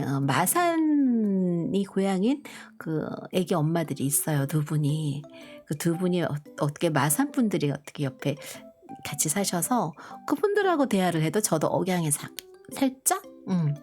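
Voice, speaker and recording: A middle-aged woman from Korea who grew up partly in Busan, chatting slowly and gently to viewers with many pauses and fillers. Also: fairly low pitch. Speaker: live stream host. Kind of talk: casual live chat to viewers. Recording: soft background music.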